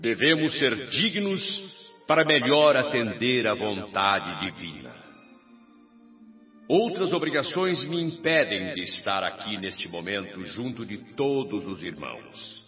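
Radio drama: revered governor, declamatory and authoritative, very measured pace, music behind, slightly dull recording. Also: male voice, on the low side, very animated. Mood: solemn, benevolent. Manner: solemn, declamatory, emphatic. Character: venerable male spirit-colony governor addressing his people, serene, authoritative. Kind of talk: radio drama acting, public address.

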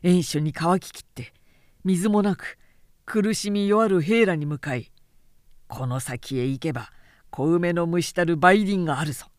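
Audiobook role: proud male warlord played by a woman, hearty, teasing, lowered voice, dry sound. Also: measured pace, darkish tone, no echo, natural voice. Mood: jovial, boastful. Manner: lordly, hearty, conversational.

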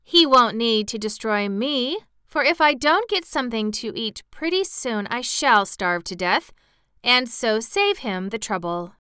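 A woman voicing a hungry, peevish female kitten in a storybook reading, with a soft purring voice. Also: fairly high pitch, sing-song, measured pace, clean tone, dry recording, clean studio sound, pitched above the performer's own voice, full character voice.

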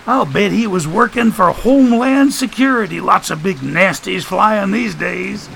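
Folksy wisecracking guy played by a male narrator, high-pitched, mock-pompous, theatrically sarcastic, for a storybook. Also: a little slow, creaky, fairly bright tone, a little hiss or hum, full character voice, low-quality recording. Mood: mocking, exasperated. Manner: mangled big words, theatrical sarcasm.

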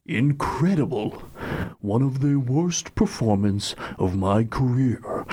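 A man doing an impression of a scheming teenage boy who fakes being sick, in a slow, conspiratorial movie-monologue delivery. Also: mid-range voice, darkish tone.

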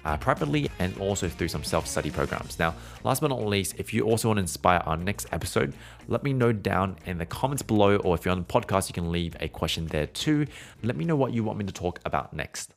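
A male voice teacher with a very low speaking voice talks through a singing lesson in a loose, conversational way. He sometimes breaks off to hum or hold out vowels as demonstrations. Soft music plays faintly underneath. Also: lively intonation, quick pace, vocal fry. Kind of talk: explanatory singing lesson, podcast.

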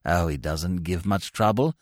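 A man reading aloud, voicing a lovesick young man who speaks softly, slowly and wistfully. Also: no room sound, clean studio sound. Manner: low, hushed, slow and wistful.

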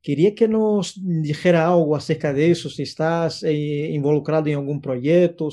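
A man's voice: on the high side, warm tone. A man hosting a podcast interview, formally welcoming and questioning his guest. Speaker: podcast host.

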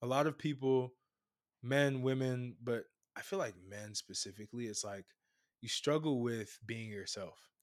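A man's voice: mid-range voice, measured pace. A man from Brooklyn, a podcast guest who coaches, giving casual advice in an emphatic way and repeating phrases for effect. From Brooklyn, New York.

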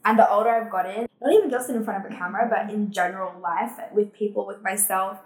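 A woman's voice: medium pitch, fast, poor audio. Gushing, enthusiastic woman host. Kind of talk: casual interview chat.

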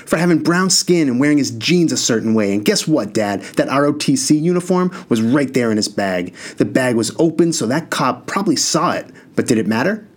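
A man's voice: medium pitch, expressive, takes it slowly, hardly any pauses, pitched above the performer's own voice. A man voicing an angry young man who is outraged on behalf of his younger brother, speaking in heated, rising outbursts. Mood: furious. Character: furious young man, protective older brother.

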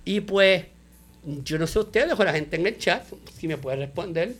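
A man, co-hosting a casual show review chat, animated and mixing in English words. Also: high-pitched, measured pace, slightly rough. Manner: animated, mixes English words, laughs often. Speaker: podcast co-host.

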